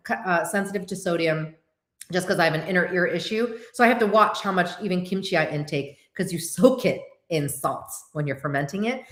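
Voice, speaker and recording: A woman in her forties, born to Korean as a first language, hosting a casual livestream chat and answering viewers in an animated way. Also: fairly low pitch, roomy sound. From United States. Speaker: host.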